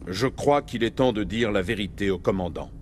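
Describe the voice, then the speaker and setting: A man's voice: on the low side, normal speed, a little mellow, a little hiss or hum, close to an everyday voice. A man playing a commanding military captain in a French video game, formal and resolute. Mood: serious, explaining. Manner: firm, formal, authoritative.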